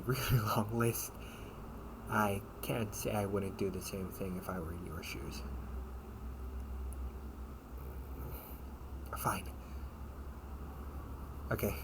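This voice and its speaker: A man playing a protective, sardonic older brother in an amateur audio roleplay, speaking in slangy, halting phrases with casual menace. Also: on the low side. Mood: grudging. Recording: noisy amateur home recording.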